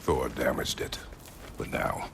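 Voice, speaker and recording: A man playing a stern, battle-worn warrior father in a video game, terse and stoic, with a very deep gravelly voice. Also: little pitch movement, takes it slowly, fairly bright tone, in a large hall, a little hiss or hum, full character voice. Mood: terse, guarded. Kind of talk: video game cinematic acting.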